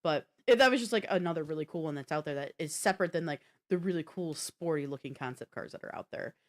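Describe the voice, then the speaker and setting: Female podcast co-host, slightly low voice, informal and slangy, talking off the cuff about tech. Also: expressive, fast. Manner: informal, slangy, thinking aloud. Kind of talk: casual tech and games chat.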